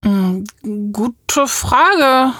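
A woman in a children's audio play conversation, explaining things simply with thoughtful pauses. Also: high voice, animated, fairly bright tone, no echo, clean studio sound. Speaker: presenter talking with a child character.

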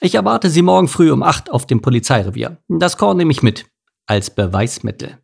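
A man voicing a stern, officious mouse police sergeant in a children's story, gruff and pedantic. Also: medium pitch, animated, brisk, darkish tone, pitched above the performer's own voice, heavily acted.

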